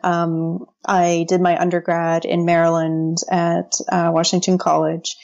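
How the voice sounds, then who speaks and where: A woman's voice: lowish voice, monotone, a little slow, creaky, darkish tone, muffled recording. A woman in her thirties from the United States, a guest on a podcast interview, chatty and often laughing.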